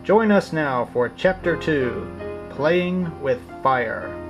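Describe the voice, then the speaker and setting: Casting: a noir serial announcer, dramatic, unhurried, mid-pitched, plucked guitar behind. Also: male voice, animated, gravelly, lo-fi sound, heavily acted. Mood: suspenseful. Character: suspenseful male announcer of noir radio serial. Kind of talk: dramatic old-time radio announcing. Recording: live stage recording, guitar music behind.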